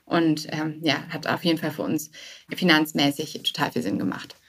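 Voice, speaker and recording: Casting: woman, mid-pitched, candid startup guest, conversational, sprinkles in English business terms. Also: normal speed, husky. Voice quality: English business loanwords mixed in. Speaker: interview guest, startup co-founder. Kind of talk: casual interview conversation.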